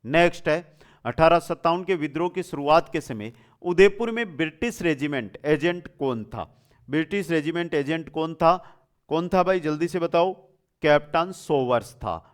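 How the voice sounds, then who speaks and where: High-voiced man teaching. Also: expressive, moderate pace, clean tone. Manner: repeats questions and answers, addresses students familiarly. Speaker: online exam coaching teacher. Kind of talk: quiz-style lesson, asking questions and giving answers.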